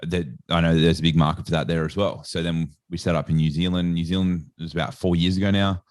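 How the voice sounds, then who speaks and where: A man's voice: low-pitched, level tone, fast, a little mellow, no echo. An Australian man talking as a podcast guest in a casual, rambling way, full of "like" and "sort of.". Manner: rambling storyteller, heavy on "like" and "sort of". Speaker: guest, sports nutritionist.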